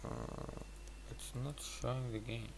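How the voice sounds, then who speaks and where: A man chats casually to his livestream viewers in a slow, halting way, often half to himself. His voice is fairly ordinary in pitch with a lot of fry. Clear noise sits behind him in the recording. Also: big pitch swings.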